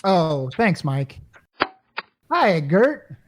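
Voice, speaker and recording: A woman's voice: lowish voice, sing-song, very slow, slight background noise, heavily acted. A woman playing Frank's part as a flustered, henpecked married repairman, stammering nervously in a radio comedy. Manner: stammering, hesitant.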